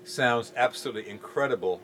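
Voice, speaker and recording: A middle-aged man from England, raised in Jamaica, giving relaxed interview answers in an easygoing, hesitant way. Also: mid-range voice, brisk, over a noisy crowd. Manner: easygoing, hesitant with many ums. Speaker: music producer guest.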